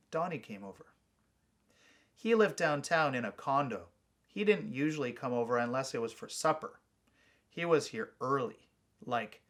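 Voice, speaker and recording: A man's voice: mid-range voice, a bit bright. A man reading his own short story aloud as a scripted first-person narration.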